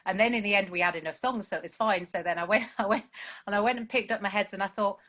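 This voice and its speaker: A woman's voice: mid-range voice, fast talker, a bit bright. A woman songwriter chatting casually as a guest, rambling and self-deprecating with lots of fillers. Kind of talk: casual chat.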